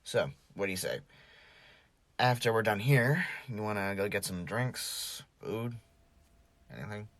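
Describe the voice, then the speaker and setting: A man acting an easygoing, wisecracking, streetwise high school boy in a fan audio drama, stammering and sarcastic. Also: lively intonation, measured pace, long pauses, a bit bright, a little hiss or hum. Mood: low-key.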